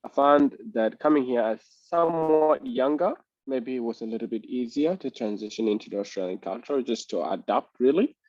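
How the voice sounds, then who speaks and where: A man in his thirties from Sudan, answering interview questions in a measured, reflective way. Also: medium pitch, darkish tone, dry recording, muffled recording. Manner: measured and reflective, pausing to gather thoughts. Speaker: interview guest.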